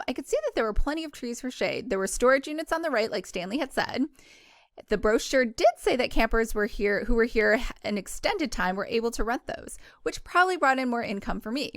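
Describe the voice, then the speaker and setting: A woman with a fairly high voice reads a story aloud as a book club host. Her delivery is informal and chatty, with small stumbles that she corrects as she goes. The sound is very dry, with no room echo. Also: vocal fry. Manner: informal, stumbles and self-corrects while reading. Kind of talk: casual read-aloud of a first-person novel with chatty asides.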